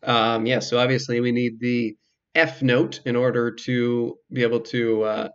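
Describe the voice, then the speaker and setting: A man's voice: medium pitch, level tone, unhurried, smooth. A man giving casual let's play commentary as the host of a video game playthrough. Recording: slightly muffled sound, music behind. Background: game music.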